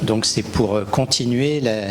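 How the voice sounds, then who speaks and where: A man's voice: mid-range voice, unhurried. Man announcing an event from the floor. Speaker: audience member making an announcement.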